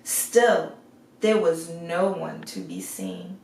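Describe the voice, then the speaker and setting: A woman reading a fairy tale aloud as storyteller, measured and expressive, in an echoey recording. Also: on the low side, vocal fry. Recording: echoey room, far from the mic.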